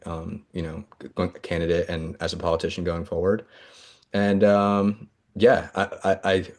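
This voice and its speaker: A man's voice: sits low, a little mellow. A man running for office, answering interview questions earnestly with frequent fillers and restarts. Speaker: congressional candidate.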